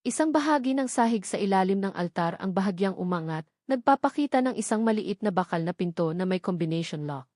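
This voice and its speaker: A woman's voice: medium pitch, expressive, brisk, darkish tone, dry recording, studio quality. A woman with a Filipino accent reading scripted story narration for an audio drama.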